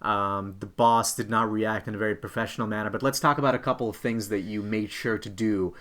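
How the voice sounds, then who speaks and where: Lowish-voiced man presenting career advice. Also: fairly even intonation, fairly quick, a bit bright. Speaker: co-host, career coach. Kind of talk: instructional presenting to camera.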